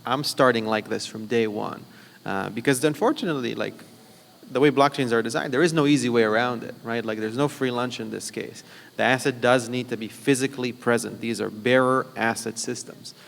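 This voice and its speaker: A man's voice: mid-range voice, brisk, gravelly, fairly bright tone, in a large hall. A man speaking as a panelist at a conference, explaining technical ideas in a chatty way with many fillers. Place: conference hall.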